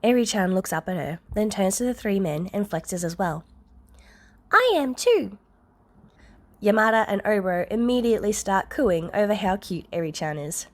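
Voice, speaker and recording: A woman's voice: medium pitch, fairly quick, few pauses, darkish tone. A woman reading scripted fiction aloud as a narrator. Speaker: podfic narrator.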